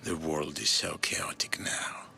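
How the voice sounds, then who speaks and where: A man's voice: very deep voice, flat delivery, takes it slowly, gravelly, bright, forceful tone, whispered, full character voice. A man playing a weary, grave older Greek ruler in dramatic video game dialogue. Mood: weary, guarded.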